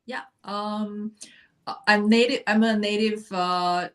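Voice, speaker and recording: A woman from China, a guest in a casual interview, who talks thoughtfully and pauses often on fillers. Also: medium pitch, steady tone, a bit bright.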